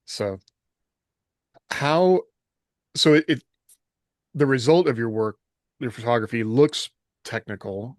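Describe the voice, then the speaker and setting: A man co-hosting a podcast in casual conversation, halting a little as he thinks aloud. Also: mid-range voice, dry recording, studio quality. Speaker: podcast co-host. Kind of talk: casual conversational chat and questions.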